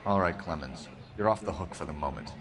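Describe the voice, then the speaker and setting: Stern, low-voiced detective played by a man. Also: brisk, noisy background, close to an everyday voice. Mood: measured. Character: stern, needling 1940s male police detective. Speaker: police detective. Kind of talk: dramatic video game acting.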